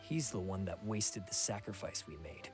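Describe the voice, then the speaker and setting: Bitter, scornful young oni outlaw. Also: male voice, low voice, expressive, normal speed. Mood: resentful, resolute. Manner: scornful, sardonic, intense. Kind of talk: anime game voice acting. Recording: music under the voice.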